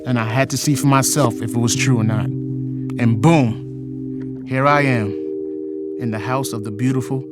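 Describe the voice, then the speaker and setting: A man playing an insistent, lovestruck middle-aged retired policeman in an audio drama, with dramatic, drawn-out declarations. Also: mid-range voice, fairly even intonation, close to an everyday voice. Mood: smug, teasing. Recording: loud music under the voice.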